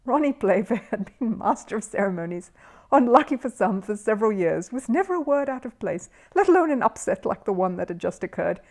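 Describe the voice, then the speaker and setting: A woman narrates a children's story. Her voice is middle-pitched and very animated, with expressive rises and falls. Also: a little fast.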